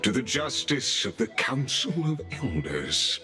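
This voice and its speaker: A man's voice: mid-range voice, very animated, a little slow. An actor voicing a courteous, ceremonious male envoy who speaks in a genteel, formal way and chuckles often, in a game cutscene. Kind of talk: studio game voice acting. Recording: game cutscene with music behind.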